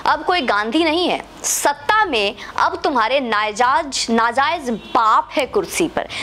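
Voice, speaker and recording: A woman with a clearly high voice speaks as a political commentator. She argues combatively, addressing her opponents directly with sarcasm and pointed rhetorical questions. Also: fairly bright tone, a little hiss or hum. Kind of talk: opinion monologue to camera.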